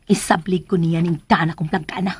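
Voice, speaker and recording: A woman's voice: fairly low pitch, steady tone, fairly quick, darkish tone, breathy edge. A woman acting a spiteful, hot-tempered, bossy schemer in a melodramatic radio drama. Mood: scheming. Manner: bossy, exclaiming, scolding.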